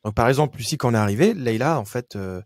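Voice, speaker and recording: A man's voice: mid-range voice, expressive, fast, darkish tone, dry recording, studio quality. A man from France, a podcast guest, sharing earnest and slightly joking anecdotes in a casual chat. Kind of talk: casual conversation.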